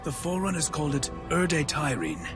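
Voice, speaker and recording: An actor playing a deferential alien steward in a game cutscene, reporting respectfully. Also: male voice, fairly high pitch, big pitch swings, brisk. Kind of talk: video game cutscene acting. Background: music score.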